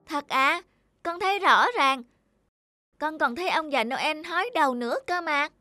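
Cartoon child role for an adult actor: earnest, pleading little boy, high and emphatic, over music. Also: moderate pace, bright, forceful tone, no room sound, studio quality. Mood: earnest, sulky. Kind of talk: animated children's story dub.

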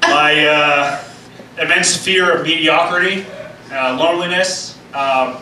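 A young man doing a stand-up set of deadpan puns at an open mic, then answering interview questions in a casual way. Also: fairly high pitch, slow pace. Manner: deadpan punning, sings snatches of songs. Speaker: stand-up comedian and interview guest. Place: small open-mic club stage, indoors. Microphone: handheld mic, filmed by a far camera. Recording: roomy far-off camera audio with background noise.

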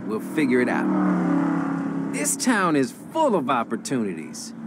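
A man's voice: medium pitch, wildly swooping pitch, unhurried, background noise. A man acting a cocky, wisecracking young crook in a video game, with a flippant, sarcastic delivery. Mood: casual, reassuring. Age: young adult.

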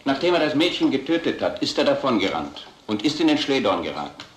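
An actor playing a stern police investigator in a crime radio drama, deliberate and authoritative. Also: male voice, mid-range voice, big pitch swings, reverberant, a little hiss or hum, close to an everyday voice. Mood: accusing. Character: stern, accusing male police investigator pressing for confession.

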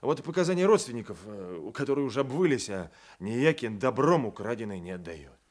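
An actor playing a blunt, loyal provincial Cossack sergeant, folksy and emphatic, in a radio play. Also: male voice, medium pitch, sing-song, fairly quick. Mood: confiding. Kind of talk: Soviet radio play acting.

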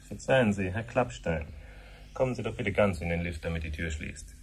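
An actor playing a courteous, formal official who explains things to visitors in a 1970s radio play. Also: male voice, low-pitched, expressive, normal speed. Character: courteous, formal male official guiding visitors through a command centre. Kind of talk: 1970s German radio drama acting. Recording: noisy 1970s radio broadcast recording.

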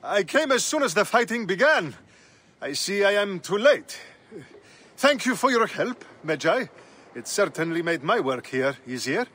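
Game role: wry lawman, weary and out of his depth, man, high bright voice, slightly halting delivery. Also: sing-song, husky, heavily acted. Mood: dry, cynical. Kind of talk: dramatic game cutscene dialogue.

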